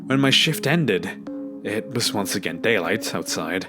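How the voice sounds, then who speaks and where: A man's voice: on the low side, lively intonation, measured pace. A man reading a scripted first-person scary story as a narrator. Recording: background music under the voice. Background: music.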